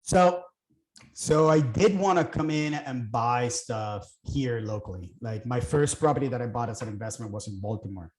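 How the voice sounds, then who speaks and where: A middle-aged man from Puerto Rico speaks in a relaxed, mellow voice, as a guest in a casual chat. He thinks aloud, pausing on fillers and restarting his sentences. The room around him adds a noticeable echo. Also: mid-range voice. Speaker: guest, real estate investor.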